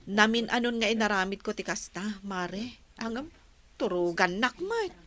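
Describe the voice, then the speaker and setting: A woman's voice: medium pitch, lively intonation, normal speed, a bit bright, lightly acted. A woman playing a long-suffering wife in a radio drama, earnest and reproachful. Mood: troubled. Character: troubled, long-suffering wife of a drinking husband. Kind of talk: Ilocano comedy radio play acting.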